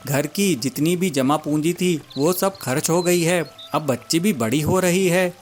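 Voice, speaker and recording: A man voicing a caring young wife and mother bird in a cartoon story, in a respectful, gentle manner. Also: on the high side, a little slow, soft background music, natural voice. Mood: worried.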